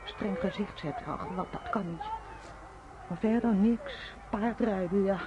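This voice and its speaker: A woman's voice: mid-range voice, a little slow, lightly acted. An actress playing an opinionated working-class mother in a radio drama, plain-spoken and insistent, repeating her points. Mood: indignant, scornful. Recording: muffled, noisy old radio drama recording.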